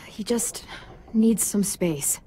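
A woman playing a weary, protective teenage girl survivor in a video game, speaking in a gentle, steady, parental way. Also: mid-range voice, very slow, slight background noise, poor audio. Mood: subdued. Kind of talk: naturalistic video game acting.

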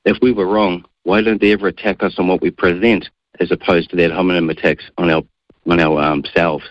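A man's voice: sits low, flat delivery, fairly quick, no echo, dull audio. A middle-aged man with a New Zealand accent, answering radio interview questions assertively and defiantly. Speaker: guest.

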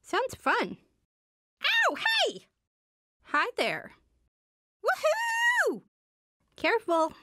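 Shy but upbeat teen superhero girl for a game, short quips, super swingy pitch, slow paced. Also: wildly swooping pitch, pushed and bright, dry recording, studio quality, full character voice. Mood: excited, cheerful. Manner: short exclamations and casual quips. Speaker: video game voice actor. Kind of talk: short video game voice lines.

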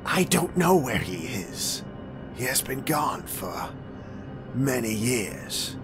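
An actor playing a calm, courteous male vampire with a thin, dry voice, speaking slowly and with polite menace in a fan audio drama. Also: medium pitch, music in the background. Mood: coaxing. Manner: slow, measured and politely menacing.

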